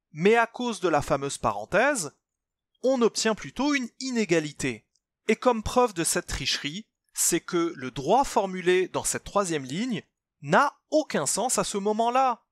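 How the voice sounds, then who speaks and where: A man's voice: fairly high pitch, fairly bright tone, dry recording. A man giving a scripted, step-by-step explanation as a presenter, didactic and emphatic, speaking directly to the listener. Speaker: presenter of a religious commentary channel. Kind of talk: scripted explanatory narration, step-by-step textual analysis.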